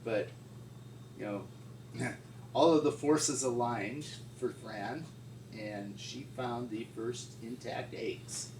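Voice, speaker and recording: A middle-aged man reminiscing informally as an interviewee, in a halting, folksy way. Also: mid-range voice. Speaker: paleontologist interviewee. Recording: distant mic, echoey room, loud noise.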